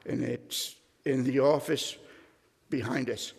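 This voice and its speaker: A man giving a congregation's welcome and announcements as worship associate, in measured, deliberate phrases. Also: medium pitch, steady tone, gravelly, husky. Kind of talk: welcome and announcements, partly read. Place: congregation's meeting hall. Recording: reverberant hall.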